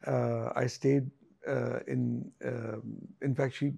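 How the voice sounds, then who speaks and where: A middle-aged man, a singer and composer, talking through his career in an interview, with many pauses and fillers. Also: medium pitch, level tone, creaky, mellow, slightly rough. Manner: halting, many fillers and restarts. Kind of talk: reflective interview talk.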